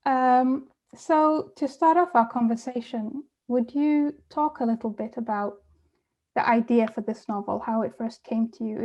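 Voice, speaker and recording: A woman interviewer with a fairly high, mellow voice asks her questions politely and in a measured way. The audio is dull and lo-fi. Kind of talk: moderating a book talk.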